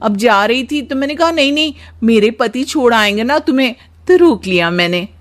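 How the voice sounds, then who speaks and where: A woman's voice: on the high side, lively intonation, normal speed, pitched above the performer's own voice, close to an everyday voice. A woman voicing a demanding wife in a cartoon story, wheedling and insistent. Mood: coaxing. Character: demanding, coaxing young wife, shrill and nagging.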